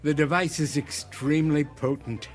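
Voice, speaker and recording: A man's voice: fairly high pitch, steady tone, normal speed, faint music behind, natural voice. An actor playing an elderly, courtly, sinister man with a theatrical, savoring, formal way of talking in a radio drama. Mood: smooth, knowing. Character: elderly, courtly, sinister man dealing in a mysterious time device. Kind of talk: dramatic radio-play acting.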